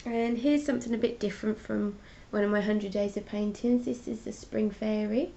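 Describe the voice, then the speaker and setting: A woman's voice: mid-range voice, steady tone, normal speed, vocal fry, warm tone, lo-fi sound, a little hiss or hum. A woman artist with a cold and a stuffy voice, chatting casually as she hosts her own live stream and explains her paintings. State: congested with a cold. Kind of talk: casual art chat, showing paintings.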